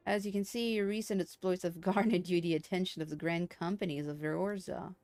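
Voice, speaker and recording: A woman's voice: lowish voice, fairly even intonation, a little mellow, natural voice. A woman voicing a gracious, formal female leader from a fantasy game, reading in stately phrases. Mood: composed. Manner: formal, measured, stately wording. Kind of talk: video game dialogue read aloud. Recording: game music behind the voice.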